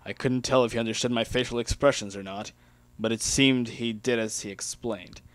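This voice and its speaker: Male amateur story reader, mid-pitched, dramatic narration, very dry recording. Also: fairly even intonation, normal speed, no room sound. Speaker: amateur fan-fiction reader.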